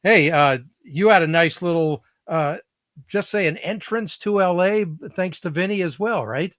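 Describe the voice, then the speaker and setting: A man hosting a casual interview show over a video call, friendly and quick to agree. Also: high-pitched, a bit bright, dry recording, studio quality. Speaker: host.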